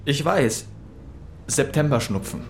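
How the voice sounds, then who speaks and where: An actor playing a lovesick, sarcastic teenage schoolboy in a radio drama, emotional and halting, repeating words and swearing. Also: male voice, fairly high pitch, lively intonation, slight background noise. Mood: irritated, defensive. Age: young adult.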